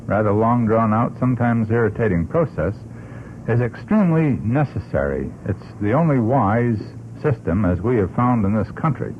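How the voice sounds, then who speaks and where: Tinny vintage radio, lower animated man. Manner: formal, deliberate. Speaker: radio panel guest. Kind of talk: reading a prepared statement aloud. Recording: narrow tinny vintage radio, faint noise.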